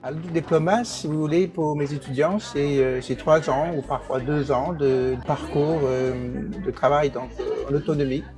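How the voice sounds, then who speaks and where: Man, English teacher, native English speaker, halting speech, lots of "euh", faint music behind. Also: fairly high pitch, steady tone. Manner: hesitant, many fillers and restarts. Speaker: English teacher and program director. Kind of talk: interview answers.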